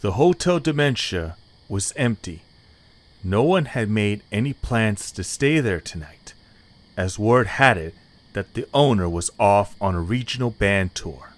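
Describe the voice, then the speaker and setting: A man's voice: fairly low pitch, lively intonation, measured pace. A man narrating a scripted story as the narrator of an audio drama.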